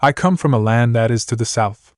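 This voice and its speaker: A man's voice: medium pitch, no echo, clean studio sound, close to an everyday voice. A man as a reserved, formal wanderer. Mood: calm. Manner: measured, formal, restrained. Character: reserved young male wanderer, quiet and polite.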